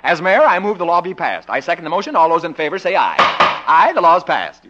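Stuffy cranky mayor, highish voice with big swings, old radio comedy, crackly. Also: male voice, big pitch swings, fairly quick. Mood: self-important. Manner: pompous, curt. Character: pompous, irritable, self-important small-town mayor man. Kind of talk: 1950s radio situation comedy acting. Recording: noisy, dull vintage radio recording with clicks.

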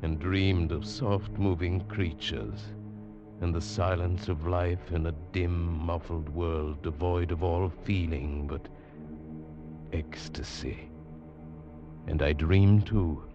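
A man's voice: sits low, fairly even intonation, slow pace. An actor playing a bewildered, haunted traveler who tells his eerie tale in an intense, hesitant, dramatic way. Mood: haunted, brooding. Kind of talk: 1940s radio drama acting and first-person narration. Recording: dull vintage radio recording with music.

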